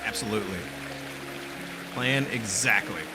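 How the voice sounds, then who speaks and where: A man's voice: on the low side, a little slow. A man doing casual gaming playthrough commentary in a slangy, joking, cheeky way. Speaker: gaming streamer. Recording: loud game music behind. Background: video game music.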